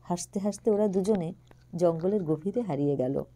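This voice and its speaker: Mellow-voiced woman narrating a story. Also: lowish voice, moderate pace. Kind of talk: expressive literary audio story narration.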